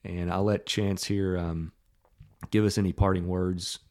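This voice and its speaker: A man's voice: deep voice, flat delivery, measured pace, a little mellow. A man, a preacher hosting his podcast, chatting and telling a self-deprecating story with lots of fillers. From Tennessee. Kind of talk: casual podcast chat and storytelling.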